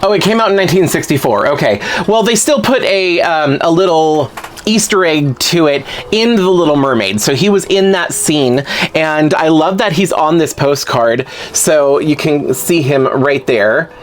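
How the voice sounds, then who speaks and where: A chatty, excitable man talks casually to camera at home. His pitch bounces all over, rising into sudden high squeals of delight. A faint hiss of noise sits under his voice. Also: moderate pace. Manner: chatty, excitable, rambling with asides. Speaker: vlogger opening fan mail. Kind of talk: casual unboxing chat.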